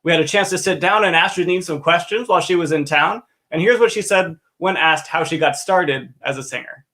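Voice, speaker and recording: A man's voice: fairly high pitch, fairly quick, sharp tone. A man hosting a livestream pre-show for an opera company, presenting from a script in an upbeat, enthusiastic way. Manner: upbeat, enthusiastic, clear announcing.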